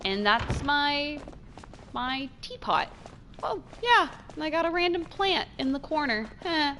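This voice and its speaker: A woman giving casual, chatty gameplay commentary as a streamer, expressive and trailing off into asides. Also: high voice, unhurried. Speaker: game streamer.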